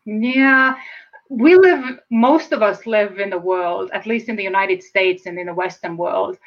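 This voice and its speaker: Estonian-accented woman, reflective guest. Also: medium pitch. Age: 40s. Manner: measured, reflective, slows to stress key words. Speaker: guest, speaker and trainer. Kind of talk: casual interview chat. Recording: slightly dull lo-fi sound.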